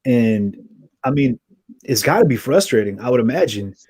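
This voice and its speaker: Male host, mid-pitched voice, earnest rambling delivery, dry close mic. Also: animated, moderate pace, darkish tone, breathy edge, no echo. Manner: rambling, earnest, trails off and restarts. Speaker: podcast host. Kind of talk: conversational interview.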